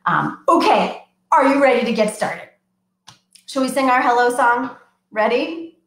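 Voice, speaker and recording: Woman, middle-aged, children's librarian at home; high-ish, sing-song storytime delivery, roomy echo. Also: big pitch swings, unhurried. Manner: bright, sing-song, addressing small children. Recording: echoey room.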